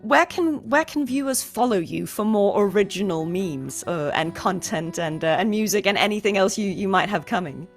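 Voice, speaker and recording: A woman hosting a casual interview, chatty and giggly, quick to agree with her guest. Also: mid-range voice, lively intonation, soft background music. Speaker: interview host.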